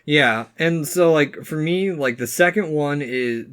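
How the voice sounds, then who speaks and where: A man's voice: on the high side, measured pace, a bit bright, clear, resonant tone. A man co-hosting a podcast, talking casually through his opinions in conversation. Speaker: podcast co-host. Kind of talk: casual movie discussion.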